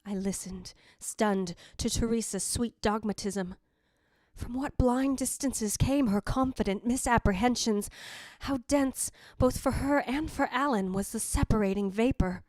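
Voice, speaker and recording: A woman's voice: fairly high pitch, measured pace, darkish tone, dry recording, close to an everyday voice. A woman playing the jealous ghost of a dead wife, narrating in a theatrical, literary, anguished way in old-time radio style. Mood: bitter, stunned.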